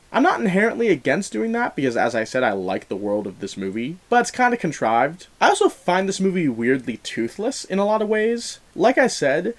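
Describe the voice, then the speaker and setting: A man giving an opinionated movie review as host, talking in a casual, explanatory way with emphatic stresses. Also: on the low side.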